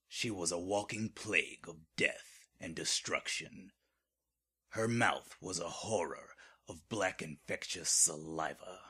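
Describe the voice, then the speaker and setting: Male narrator for horror audio drama: very low, gravel-rough husky voice, ominous and theatrical. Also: bright, forceful tone. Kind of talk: scripted horror story narration.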